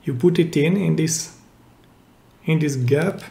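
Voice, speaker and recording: A man giving a hands-on, step-by-step repair tutorial in simple, careful phrases with pauses mid-sentence, recorded indoors at a workbench. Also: on the high side, gravelly, dark tone. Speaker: DIY repair channel host. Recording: roomy, echoey indoor sound.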